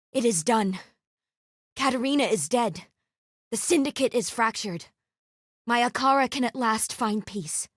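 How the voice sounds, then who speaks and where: A woman voicing a solemn, honorable warrior in a video game, speaking in a formal, measured and earnest way. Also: fairly high pitch, fairly bright tone, dry recording, studio quality. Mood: solemn, grateful. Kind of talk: scripted game character dialogue.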